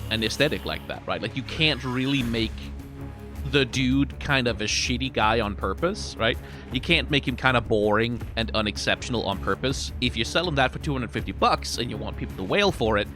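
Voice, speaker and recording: Male commentator, highly expressive, colloquial and sardonic, off-the-cuff reactions over clearly audible music. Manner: animated, colloquial, sweary, sardonic. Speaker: reaction video host, commentator.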